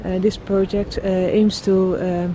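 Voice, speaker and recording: A woman, a project founder speaking earnestly in a documentary interview and pausing often to find words. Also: medium pitch, little pitch movement, dark tone. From Netherlands. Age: 20s. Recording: outdoor street noise with passing traffic.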